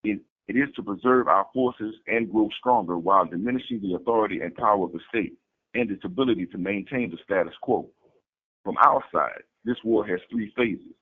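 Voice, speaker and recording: A tinny, narrow-band recording carries a low-voiced man with a rough, husky edge. He reads a political statement in a formal, declarative way, setting out each point as scripted doctrine. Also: lively intonation, fairly quick. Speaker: political activist reading a party strategy statement. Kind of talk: scripted reading of a political manifesto.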